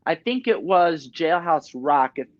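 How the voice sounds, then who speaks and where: Teen boy with a high, chatty voice. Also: animated, a little slow, no room sound, muffled recording. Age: teens. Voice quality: high talking voice. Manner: chatty, many fillers like "you know". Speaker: guest, Elvis tribute artist. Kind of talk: casual interview chat. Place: small home studio indoors.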